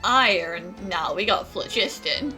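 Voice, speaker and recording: A playful, brash young woman adventurer from a video game. Her bright voice is lively in pitch and casually teasing, over faint music. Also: unhurried. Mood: cocky.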